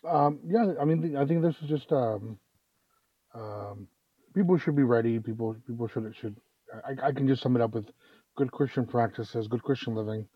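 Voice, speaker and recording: A man's voice: medium pitch, normal speed, slightly rough, no room sound. A man in a casual discussion, earnest, repeating words as he works toward his point. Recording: dull lo-fi audio.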